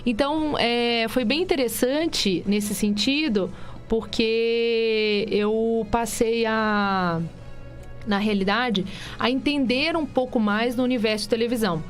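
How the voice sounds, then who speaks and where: A woman's voice: fairly high pitch, takes it slowly, faint music behind. A woman in her thirties with a light Minas Gerais accent, chatting as a guest in a radio interview and telling her story with lots of restarts. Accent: light Minas Gerais (mineiro). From Minas Gerais, Brazil. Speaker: guest, TV journalist and presenter. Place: radio studio.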